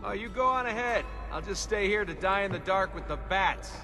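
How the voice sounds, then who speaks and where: A man's voice: sits high, moderate pace. A man playing a sarcastic, grumbling young adventurer in a video game cutscene, with a dry, wry delivery. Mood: dry sarcasm. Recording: music behind the voice.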